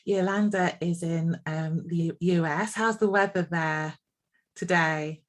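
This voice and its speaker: A woman's voice: on the low side, fairly even intonation, unhurried. A woman from England hosting a podcast interview, talking casually with frequent ums and restarts. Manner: chatty, warm-hearted, many ums and restarts. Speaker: podcast host.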